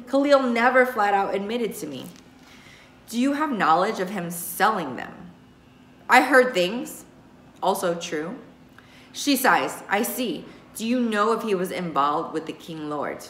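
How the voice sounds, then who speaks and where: In a small, echoing room, a middle-aged woman reads a novel aloud. Her pitch sits in the middle for a woman. She performs the dialogue with emphasis and lets the speech tags fall away more softly. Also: animated, a little slow. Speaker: teacher reading a novel aloud. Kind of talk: expressive audiobook-style read-aloud of a novel. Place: small indoor room with bookshelves.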